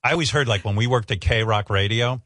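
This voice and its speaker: A man's voice: on the low side, brisk, a bit bright, no echo, studio quality. A male radio host in casual, profane banter, indignant and often laughing.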